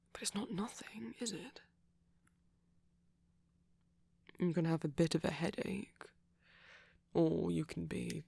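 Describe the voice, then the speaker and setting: A woman playing a gentle, doting vampire boyfriend in a soft-spoken romantic ASMR roleplay, tender and lightly teasing, often whispering close to the microphone. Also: medium pitch, vocal fry, husky, no room sound, heavily acted. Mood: concerned.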